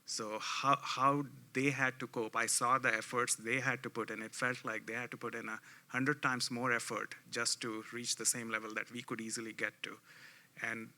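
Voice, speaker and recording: Panelist: man, Indian accent, bright forceful tone, reflective, earnest delivery. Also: mid-range voice, little pitch movement, normal speed. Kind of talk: conference panel anecdote.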